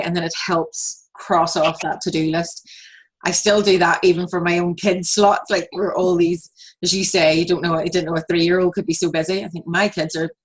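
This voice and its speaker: A woman's voice: fairly low pitch, low-quality recording. A woman hosting an interview podcast, chatting in a loose, conversational way with frequent restarts. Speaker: podcast host.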